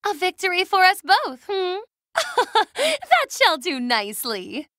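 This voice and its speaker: A woman's voice: extremely high pitch, huge pitch swings, slow, bright, forceful tone, a little hiss or hum, full character voice. A woman voicing a haughty young noblewoman mage in a video game, theatrical and proud, with formal, lofty diction. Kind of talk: video game battle voice clips.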